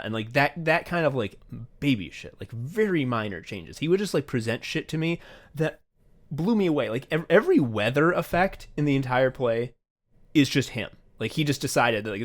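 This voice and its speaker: A man co-hosting a casual podcast, swearing freely and telling stories emphatically, acting out bits of conversation as he goes. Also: medium pitch. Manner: profane, effusive, emphatic storytelling; acts out remembered exchanges in dialogue. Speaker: podcast host and audio play writer. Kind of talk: casual podcast chat, retrospective.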